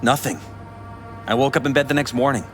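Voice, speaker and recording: An actor playing a polished but troubled middle-aged politician in a video game, speaking in a measured, courteous, earnest way. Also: male voice, mid-range voice, natural voice. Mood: puzzled, uneasy. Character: polished, troubled male politician confiding in an investigator. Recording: music behind.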